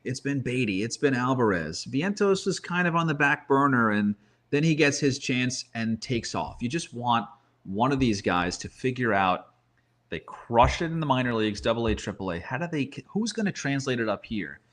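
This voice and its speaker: A man's voice: mid-range voice, lively intonation, normal speed, a bit bright. A man giving sports commentary in conversation, making his points emphatically and slipping into storytelling. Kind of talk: animated baseball talk.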